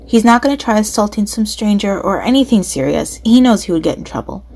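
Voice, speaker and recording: A woman's voice: medium pitch, expressive, brisk, a little mellow. A woman playing a trusting, candid young woman who is a friendly confidante in an audio drama.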